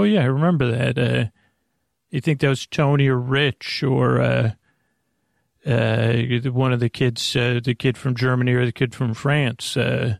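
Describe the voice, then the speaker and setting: A man hosting a bedtime podcast in a creaky, lulling voice, meandering and halting as he drifts off topic and loses his thread. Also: mid-range voice, no room sound, clean studio sound. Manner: lulling, soothing delivery. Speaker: host of a bedtime sleep podcast. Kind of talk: rambling, off-topic bedtime monologue.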